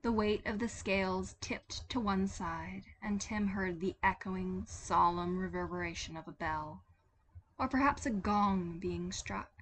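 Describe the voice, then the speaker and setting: A woman's voice: medium pitch, a little slow, fairly bright tone, slight background noise, poor audio. A woman reading a story as a dramatic scripted narration. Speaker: podfic narrator.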